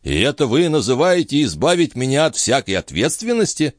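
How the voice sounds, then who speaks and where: A flustered male post-station keeper from a storybook, put on by a man, easily outraged and alarmed. His protests climb into a high, shrill shout. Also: big pitch swings, fairly quick, dry recording, clean studio sound, close to an everyday voice. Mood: indignant.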